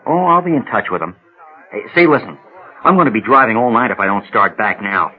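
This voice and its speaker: An actor playing a polite, hesitant, earnest young small-town coach in a radio drama. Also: male voice, on the low side, lively intonation, fairly quick, breathy edge, narrow, tinny audio. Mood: earnest, friendly. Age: young adult.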